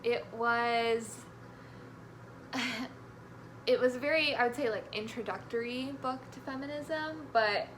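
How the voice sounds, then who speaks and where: A young woman with a fairly high voice joins a friend for an informal book discussion. She gives her views in a tentative, conversational way. There is clear noise behind her. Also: slow pace, pauses often, fairly bright tone. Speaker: guest, friend of the vlogger. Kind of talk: casual book chat. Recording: clearly noisy room recording.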